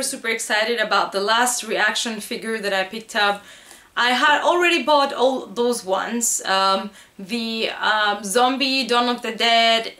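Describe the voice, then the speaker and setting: A woman's voice: on the high side, a bit bright. A woman chatting casually and enthusiastically as she hosts a haul video, gushing about what she shows. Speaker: video host showing a collectibles haul. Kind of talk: casual haul vlog chat. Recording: echoey room.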